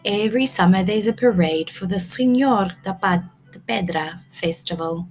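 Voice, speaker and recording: A woman's voice: medium pitch, moderate pace, darkish tone, faint music behind. A woman teacher reading a picture book aloud to young children, expressively, prompting them with questions.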